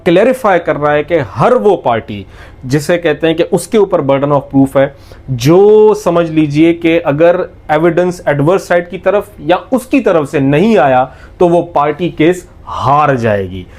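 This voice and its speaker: A Pakistani-accented man with a fairly high voice teaches in a methodical lecture style. He lays out each point step by step and restates it with emphasis, dropping English technical terms into his explanation. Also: lively intonation, a little slow, darkish tone. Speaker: law lecturer.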